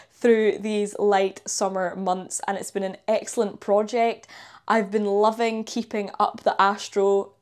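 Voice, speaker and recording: A woman's voice: medium pitch, darkish tone. A young woman in her twenties with a Scottish accent, chatting upbeat about her online community, recorded on a lapel mic in a small room. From Scotland. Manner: upbeat, chatty, uses local words like wee and yous. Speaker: astrophotography channel host. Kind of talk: enthusiastic vlog and screen walkthrough. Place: small indoor room by a coat rack. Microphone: clip-on lapel mic.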